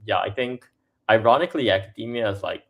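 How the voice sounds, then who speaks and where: Young man from Singapore, gravelly and low. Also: quick pace, a bit bright. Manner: filler-heavy, restarts often, laughs between points. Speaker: podcast guest, startup co-founder. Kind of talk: casual interview chat.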